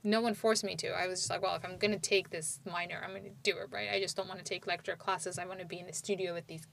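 A woman's voice: lowish voice, steady tone, quick pace, gravelly, a bit bright, slight background noise. A woman in her forties, from Turkey, telling her story in a casual conversation, rambling and restarting her sentences. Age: 40s.

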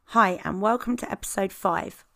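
A woman hosting a dog-training podcast, her voice hoarse and husky, explaining things in calm, measured steps. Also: medium pitch. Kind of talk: informative podcast talk.

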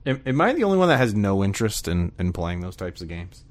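A man's voice: deep voice, big pitch swings, fast talker. A man hosting a podcast with informal, jokey, casual banter about video games. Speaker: podcast host.

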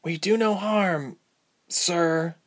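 A man's voice: sits high, extremely slow, voice pushed up, exaggerated voice. A man voicing an anxious young female traveler in a storybook, full of questions. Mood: timid. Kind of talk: audiobook character voice.

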